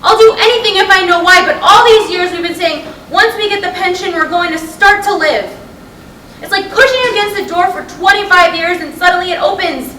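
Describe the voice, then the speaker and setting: A woman's voice: very high-pitched, brisk, bright, forceful tone. A woman playing a frustrated, restless middle-aged wife in a staged play reading, insistent and emotional as she urges her husband on. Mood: agitated. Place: roomy hall, staged reading at a college. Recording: distant echoey stage recording with noise.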